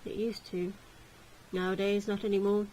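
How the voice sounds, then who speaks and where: A woman voicing a stern yet gentle male tutor in a visual novel, speaking in a soft, measured, reflective way. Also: mid-range voice, level tone, slight background noise, close to an everyday voice, poor audio. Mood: quiet, wistful.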